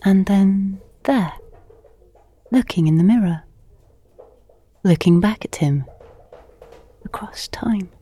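A woman giving an intimate scripted literary narration in a hushed, measured manner, often close to a whisper. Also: mid-range voice, husky. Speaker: narrator and performer of an audio playlet.

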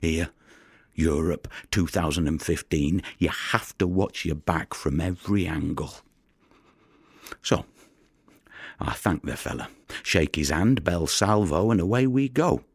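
An actor playing a world-weary, wary fisherman in an audio drama monologue, with a gravelly voice and a wry, colloquial way of telling it. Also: low voice, animated, a little slow, long pauses, dry recording, clean studio sound, heavily acted. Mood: wary, uneasy.

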